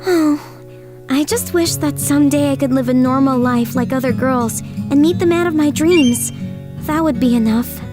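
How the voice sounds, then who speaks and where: A woman voicing a sweet, lonely rich high school girl in a manga dub, gentle and girlish. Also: normal speed, natural voice. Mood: wistful. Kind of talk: anime-style manga dub acting. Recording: music behind the voice.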